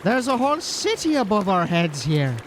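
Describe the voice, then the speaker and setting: A man acting an anxious, excitable guide in a fantasy audio drama, halting and worried. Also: high-pitched, slight background noise. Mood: bewildered.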